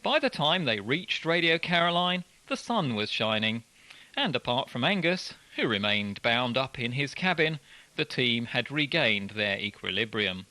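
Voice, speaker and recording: Animated male radio presenter, bright voice. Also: medium pitch, a little slow, no room sound, rough recording. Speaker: radio presenter reading articles. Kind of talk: scripted narration of magazine articles.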